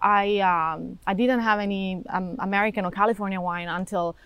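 A woman from Italy with an Italian accent, a wine shop owner chatting casually in an interview, halting with frequent restarts and easy laughter. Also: medium pitch, fairly even intonation, fairly bright tone, clear, resonant tone, no room sound.

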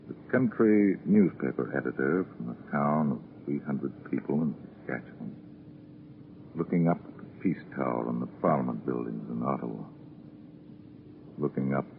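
Very deep, ominous radio narrator. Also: male voice, vocal fry, heavily acted. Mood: grim, ominous. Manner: solemn, dramatic, rhetorical delivery. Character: stern, ominous male narrator addressing a doomed prisoner. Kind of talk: 1940s radio drama acting, second-person narration. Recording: tinny, noisy 1940s radio broadcast.

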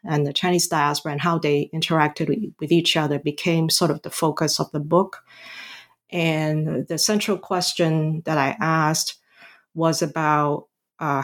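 A middle-aged woman from Hong Kong, a historian being interviewed about her book, answering haltingly with many ums and restarts. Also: low-pitched. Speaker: guest author, historian.

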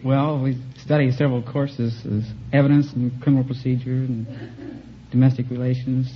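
A man's voice: mid-range voice, level tone, moderate pace. An earnest, polite American man in his twenties from Ohio, answering a quiz host straightforwardly. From Ohio, United States. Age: 20s. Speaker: quiz contestant, law student. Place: radio studio with audience. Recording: muffled old radio, faint noise.

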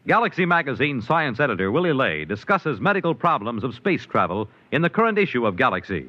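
A man's voice: mid-range voice, sing-song, fairly quick. A man with an American accent giving formal, polished scripted announcements in a 1950s radio style. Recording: dull vintage radio recording.

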